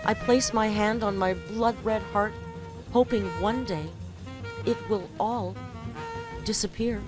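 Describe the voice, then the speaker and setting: Slow, theatrical woman reading poetry over music. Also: medium pitch, low-quality recording. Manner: theatrical, deliberate, grandiose delivery. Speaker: host reading and critiquing a poem. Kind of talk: dramatic poetry reading and literary commentary. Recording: music under the voice. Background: background music.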